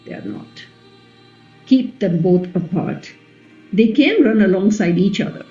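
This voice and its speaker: Mellow, slow, wise epic queen played by a woman. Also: medium pitch, lively intonation, natural voice. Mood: reflective. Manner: measured, reflective. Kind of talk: dramatic play reading, radio play. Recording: muffled recording with music behind.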